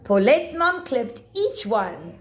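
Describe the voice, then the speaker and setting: A woman reading rhyming picture books aloud to young children with theatrical, deliberate phrasing. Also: on the high side, big pitch swings. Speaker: children's storybook reader.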